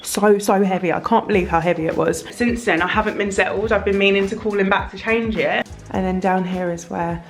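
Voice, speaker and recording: A woman's voice: medium pitch, steady tone, a little fast, hardly any pauses, faint music behind. A woman chatting candidly as she films her home renovation vlog. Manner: chatty, candid, filmed while working around the house. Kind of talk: casual vlog chat. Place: house under renovation, indoors. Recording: echoey room.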